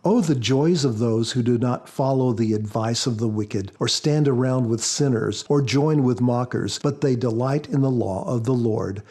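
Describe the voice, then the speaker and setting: A man speaking as an author on a podcast, explaining things in a measured, reflective way. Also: mid-range voice, warm tone, husky. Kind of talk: measured explanatory talk with scripture readings.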